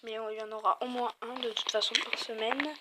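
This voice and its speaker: A woman's voice: on the high side, little pitch movement, brisk. A woman with a southern French accent giving rambling, chatty commentary on her game, full of hesitations, recorded on a phone microphone. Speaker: let's play host. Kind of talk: casual gameplay commentary. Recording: loud noise on a glitchy phone mic.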